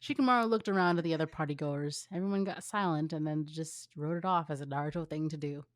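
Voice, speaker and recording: Young woman narrating in a low, dry sound. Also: quick pace, no echo. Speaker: co-host, fan fiction reader. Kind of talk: informal story narration with banter.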